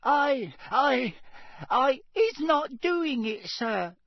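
A man voicing a terrified female house-elf servant, crying and stammering in broken grammar with a squeaky voice. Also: very animated, drawn-out words, fairly bright tone, no echo, clean studio sound, exaggerated voice. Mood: frightened.

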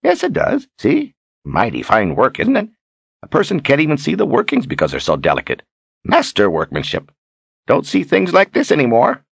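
A man's voice: fairly high pitch, wildly swooping pitch, normal speed, gravelly, slightly rough, no echo, studio quality, pitched above the performer's own voice, exaggerated voice. A man voicing a boastful, sly old wizard who talks in a folksy, grandiose way, as in an audiobook. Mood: showy, delighted. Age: older. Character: theatrical, boastful, sly old wizard posing as fortune-teller. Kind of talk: audiobook character acting.

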